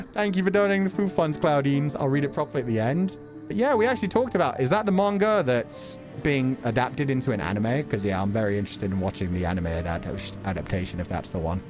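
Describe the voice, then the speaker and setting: A man's voice: mid-range voice, expressive, a little fast, music in the background. A young adult man streaming a visual novel, reading narration aloud and reacting with casual, sweary commentary. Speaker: game streamer.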